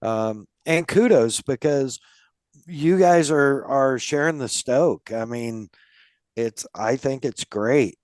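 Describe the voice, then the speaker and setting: A man's voice: mid-range voice, slow pace, a little mellow, no room sound, studio quality. A man hosting a casual podcast interview, rambling with lots of fillers and restarts. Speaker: podcast host.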